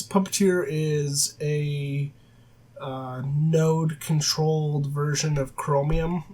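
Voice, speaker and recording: A man with a cold-roughened raspy voice, teaching a coding tutorial over a screen recording with many filler sounds and self-corrections. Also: a little high, a little mellow, low-quality recording. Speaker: programming tutor. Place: at a desk, voice-over of screen capture. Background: faint keyboard typing.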